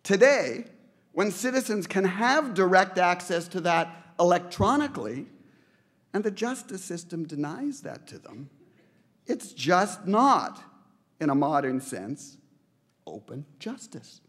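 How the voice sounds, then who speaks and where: A middle-aged man from Canada reads a prepared acceptance speech into the podium microphones of a large banquet hall. His voice sits a little high for a man. He builds his case with steady emphasis and lands his points with repeated phrases. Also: a little slow. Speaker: award recipient giving an acceptance speech.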